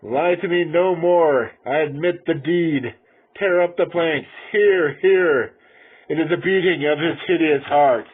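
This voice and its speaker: A man playing a cunning, mad murderer who confesses in a theatrical gothic horror reading, swinging between hushed control and frenzy. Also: fairly high pitch, unhurried, voice pushed up. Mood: frantic, raving. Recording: tinny band-limited audio with clear noise.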